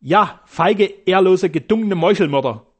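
A male narrator plays a fearless German doctor in an audiobook. He speaks with formal, heated conviction and accuses his rivals outright. The voice sits a little higher than a typical man's. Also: a little fast, close to an everyday voice. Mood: indignant.

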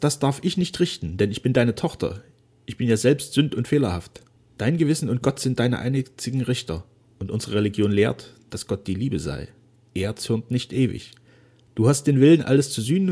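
A man's voice: medium pitch, warm tone, husky, voice pushed up. A man reading aloud voices a young noblewoman pleading fervently with her father. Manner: raised, fervent pleading delivery. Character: young noblewoman daughter, devoted and earnest.

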